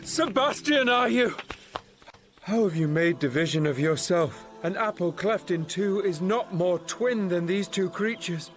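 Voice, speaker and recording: An actor playing a loyal seafaring friend in a radio Shakespeare drama, speaking with intensity. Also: male voice, sits high, expressive, measured pace. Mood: astonished. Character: loyal sea captain friend, astonished and intense. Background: music.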